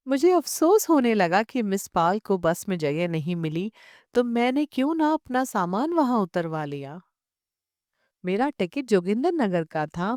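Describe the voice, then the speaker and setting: A woman's voice: medium pitch, a little mellow, no echo, studio quality. A woman reading a literary short story as an audiobook narrator.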